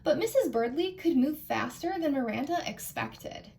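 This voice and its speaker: High-pitched American woman reading a storybook. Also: moderate pace, creaky, slight background noise. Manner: animated, asks listeners questions. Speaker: children's librarian reading storytime. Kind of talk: expressive picture-book read-aloud for children.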